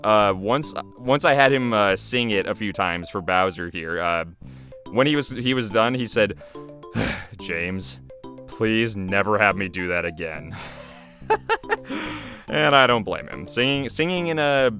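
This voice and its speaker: A man's voice: fairly low pitch, expressive, a little slow, bright, forceful tone, soft background music. A man who creates and voices videos, giving informal, musing behind-the-scenes commentary in a casual way.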